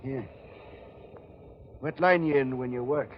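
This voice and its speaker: A man's voice: mid-range voice, unhurried, natural voice. Guy doing small town sheriff poking around, casual but prying, old radio play, muffled and noisy recording. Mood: casually probing. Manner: casual, clipped questioning. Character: burly, nosy small-town male sheriff, casually probing. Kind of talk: 1940s radio acting.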